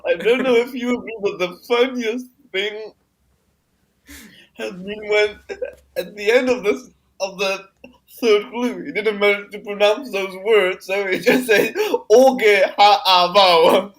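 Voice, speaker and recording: A man calling into a live stream who chats casually in a halting way, repeating words and filling pauses with "um". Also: sits high, a bit bright, poor audio. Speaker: regular caller. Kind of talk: casual call-in chat.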